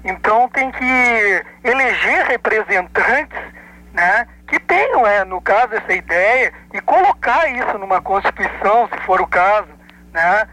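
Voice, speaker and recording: A man's voice: high voice, lively intonation, a little slow, pushed and bright, rough recording. A man from southern Brazil with a Gaúcho accent, calling in to a radio show and speaking hesitantly with many fillers and restarts. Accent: Gaúcho Brazilian Portuguese. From Rio Grande do Sul, Brazil. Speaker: radio caller. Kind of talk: opinionated call-in chat.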